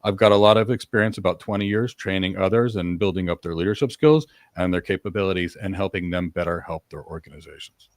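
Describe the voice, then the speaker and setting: A man hosting a live-stream career talk, acting out mock job interview answers in a lively, demonstrative way. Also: deep voice, quick pace, few pauses, no room sound. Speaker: host.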